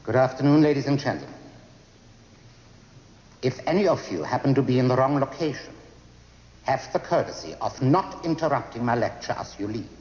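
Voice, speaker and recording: An actor playing a curt, pompous college professor opening a lecture. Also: male voice, mid-range voice, expressive, fairly quick, pauses often, darkish tone, lightly acted. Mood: stern. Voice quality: curt, sarcastic. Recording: echoey lecture hall.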